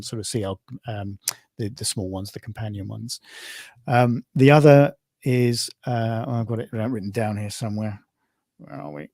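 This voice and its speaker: A man with a British accent hosting an informal online presentation as a competition organiser, speaking in a conversational, halting way. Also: lowish voice, darkish tone, no room sound, studio quality. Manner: conversational, halting, often repeats "you know".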